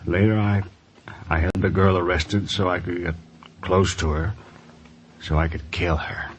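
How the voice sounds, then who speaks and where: Old radio crime drama: tormented confessing husband, low register, halting, slow delivery, slightly hissy archive sound. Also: male voice, fairly even intonation, rough recording. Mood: anguished confessing. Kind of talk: 1950s radio drama acting. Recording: old radio recording with background hiss.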